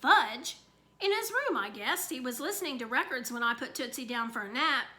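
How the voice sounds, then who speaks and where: Worried, scolding mom figure, bright and lively, as a woman reads her. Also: sits high, normal speed. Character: anxious, stern young mother.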